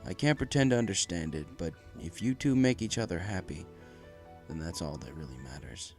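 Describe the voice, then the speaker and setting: A narrator voicing a warm, gruff pegasus father who runs a factory, in a storybook reading. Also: low-pitched, expressive, moderate pace, faint music behind, voice pushed down. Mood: tender, accepting.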